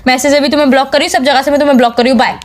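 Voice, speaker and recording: A very high, quick young female voice , acted by a woman, as a clingy, suspicious girlfriend in a phone skit. She nags insistently and is easily wounded, over faint background noise. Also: fairly even intonation, close to an everyday voice. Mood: hurt, upset. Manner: nagging, insistent, repeats her questions. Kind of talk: romantic phone-call skit in Hindi.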